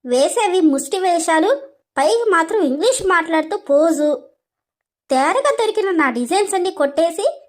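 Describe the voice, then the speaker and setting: Woman acting a very high-pitched feisty young woman. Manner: scolding, confrontational, insult-laden. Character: feisty, hot-tempered young female employee who berates her boss. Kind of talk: dramatized story dialogue.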